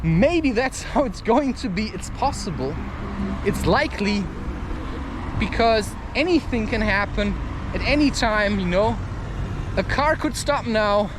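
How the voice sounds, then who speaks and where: A man's voice: high-pitched, animated, measured pace, background noise. A man giving a candid, rambling personal monologue for a vlog, musing and often checking himself with tag questions. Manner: musing, repeatedly seeking agreement with tag questions. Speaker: vlogger and podcaster.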